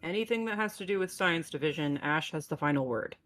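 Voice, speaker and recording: Terse, low-pitched spaceship captain played by a woman. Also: animated, fairly quick, fairly bright tone. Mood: resigned, defensive. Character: weary, matter-of-fact spaceship captain. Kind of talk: table read of a sci-fi film script.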